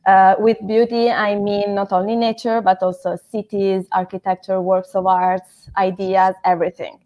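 Young Italian woman, MBA student, earnest and measured self-intro over video call. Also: medium pitch, little pitch movement, mellow. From Italy. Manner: earnest, measured, frequent uh pauses. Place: home living room. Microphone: video call with headset.

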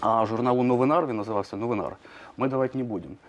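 Quick-talking man full of fillers. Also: medium pitch. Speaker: journalist guest. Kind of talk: studio interview.

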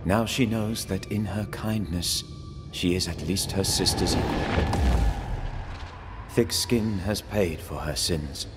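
An actor playing a solemn storyteller in a video game, narrating gravely and deliberately with clear noise behind him. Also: male voice, loud music behind the voice. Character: solemn male storyteller passing judgement on fates. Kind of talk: scripted dramatic game narration. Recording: clear background noise and rumbling ambience.

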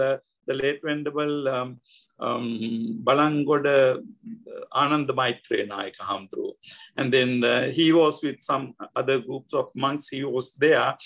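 An older man with a Sri Lankan accent, speaking as an interview guest over a video call, halting with many fillers and restarts. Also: mid-range voice. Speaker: guest scholar. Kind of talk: reflective interview talk. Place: indoor home room.

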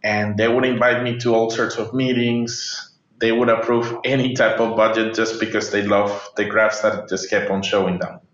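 A man's voice: lowish voice, little pitch movement, moderate pace, fairly bright tone. A man from Colombia, a guest telling his work story in a straightforward way. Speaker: dashboarding specialist guest. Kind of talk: casual interview answers. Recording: roomy, dull remote audio.

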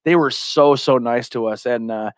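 A man's voice: mid-range voice, normal speed, no room sound, clean studio sound. American man rambling through casual stories. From Pennsylvania, US. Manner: profane, rambling storytelling. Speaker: podcast host. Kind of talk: casual chat, anecdotes. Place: small curtained podcast room. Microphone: podcast microphone, headphones.

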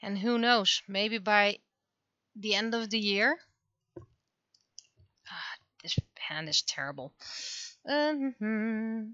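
A woman's voice: mid-range voice, slow, pauses often, sharp tone, smooth, no room sound, lo-fi sound. A woman chatting casually on a livestream while she draws, musing half to herself and trailing off. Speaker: livestreaming webcomic artist.

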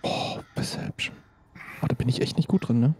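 A man playing a tabletop role-playing game, halting as he improvises and turning formal when in character. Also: background noise. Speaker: player in tabletop role-play. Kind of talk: improvised in-character play and casual chat.

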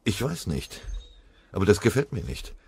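A man's voice: lowish voice, very animated, moderate pace, gravelly, darkish tone, slightly rough, no echo, full character voice. A man playing a stubborn, suspicious army private in a war audiobook, grumbling and mocking. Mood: uneasy.

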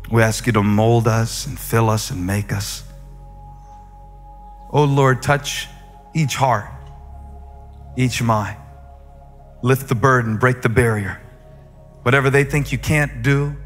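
A male pastor delivering a sermon and prayer with rhythmic repetition that builds to emphatic peaks. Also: medium pitch. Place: large church auditorium. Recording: long reverb of a large hall, soft music underneath. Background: faint music.

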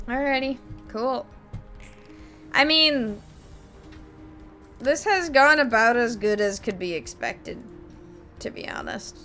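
A woman's voice: fairly high pitch, pushed and bright. A young woman streaming a card game at her desk, chatting gleefully with viewers, laughing and swearing casually, her voice a little strained. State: voice slightly strained. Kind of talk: casual gameplay commentary. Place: small room at a gaming desk. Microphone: desk mic, webcam at computer. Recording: game music faintly behind.

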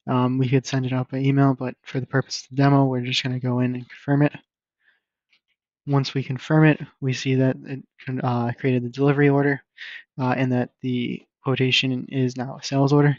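A man presenting a casual screen-share software demo in an informal, explanatory and somewhat hesitant way. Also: medium pitch, flat delivery, a little fast, no room sound. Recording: dull lo-fi microphone recording.

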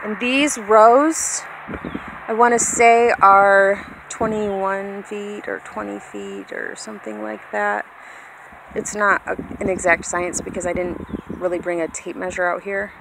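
A woman's voice: flat delivery, unhurried, gravelly. Casual woman vlogging outdoors in windy noise. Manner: informal, self-deprecating. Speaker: vlogger, hobby farmer. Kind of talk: casual rambling vlog chat to viewers. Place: outdoors in an open grassy yard. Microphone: handheld phone at arm's length. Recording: windy outdoor phone recording, vehicle-like rumble. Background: wind and outdoor noise.

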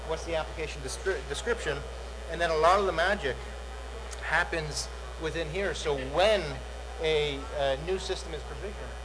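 Male presenter, middle-aged, salesy conference pitch with "uh"s, slightly raised voice, noisy hall recording. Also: moderate pace. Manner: salesy, repeats words, many "uh" fillers. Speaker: product demo presenter. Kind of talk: conference tech demo. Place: large dark conference hall, on stage.

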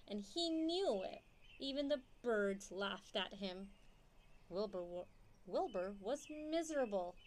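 Slow-reading young woman, storytime voice. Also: fairly high pitch, a little hiss or hum. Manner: expressive, asks listeners questions. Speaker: storytime reader. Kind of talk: picture-book read-aloud for young children.

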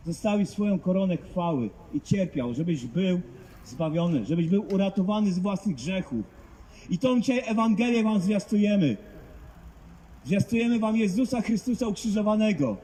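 A man's voice: high voice, expressive, moderate pace, mellow, a little hiss or hum, rough recording. A man preaching outdoors to passers-by, fervent and emphatic, driving points home with rhetorical questions. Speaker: street preacher. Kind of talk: open-air evangelistic preaching. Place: outdoors in a public street.

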